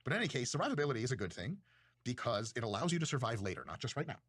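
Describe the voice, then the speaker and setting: Lively, husky man lecturing casually. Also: medium pitch, racing, no room sound, studio quality. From Russia. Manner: profane, self-mocking, rambling. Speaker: video creator.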